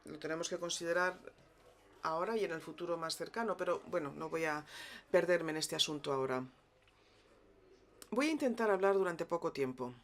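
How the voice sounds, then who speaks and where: A woman interpreting a conference speech live, delivering it haltingly, phrase by phrase. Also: on the low side.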